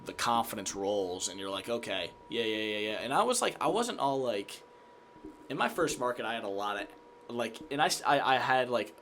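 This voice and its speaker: A man's voice: medium pitch, moderate pace, a little hiss or hum. A young American man chatting casually and energetically as an interview guest, often acting out his own thoughts. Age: young adult. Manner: energetic, animated self-quoting. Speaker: guest, TV sports reporter and anchor. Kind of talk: casual chat.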